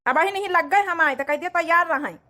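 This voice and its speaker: Woman playing a high-voiced jaunty Awadhi husband. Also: fast, pushed and bright. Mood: breezy, confident. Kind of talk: cartoon comedy dialogue.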